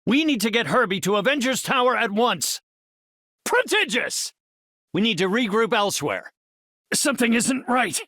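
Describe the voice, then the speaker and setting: An actor playing a courteous, confident middle-aged superhero scientist in a video game, speaking in an articulate, formal and erudite way. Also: male voice, extremely high pitch, big pitch swings, normal speed, sharp tone, no room sound, studio quality, exaggerated voice. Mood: urgent, commanding. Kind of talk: video game character voice lines.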